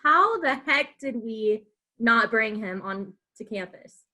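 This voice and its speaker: A woman's voice: fairly high pitch, animated, slow, sharp tone. An American woman hosting a podcast interview in a casual, conversational way. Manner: frequent listening responses. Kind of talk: casual chat.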